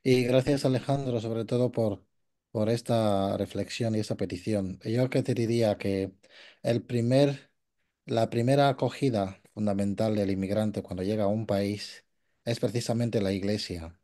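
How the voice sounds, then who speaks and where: Man, low mellow voice, halting conversational remarks at a conference. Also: fairly even intonation. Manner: hesitant, frequent fillers. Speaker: conference participant.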